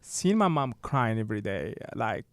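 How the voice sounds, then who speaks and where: A man in his thirties with a Tunisian accent, a radio guest telling his story in simple, halting English. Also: fairly low pitch, sing-song, no echo. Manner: simple broken English with many restarts. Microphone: studio mic.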